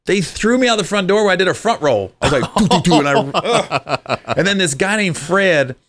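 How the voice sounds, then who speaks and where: A man in his forties, a stand-up comedian, telling stories from his career in a casual chat, animated and acting out voices. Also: sits high, fairly quick, no room sound.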